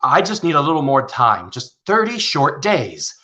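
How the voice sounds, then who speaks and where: A man's voice: on the high side, huge pitch swings, normal speed, bright, forceful tone, heavily acted. A man playing a decent, harried middle-aged father in a radio play, pleading earnestly, kind but firm.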